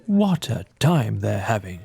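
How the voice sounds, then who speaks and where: A man voicing a brave, steady badger leader in a storybook, giving gruff commands to rally his friends. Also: fairly low pitch, measured pace, pitched below the performer's own voice, full character voice. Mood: firm, urgent. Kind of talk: storybook character voice in audio play.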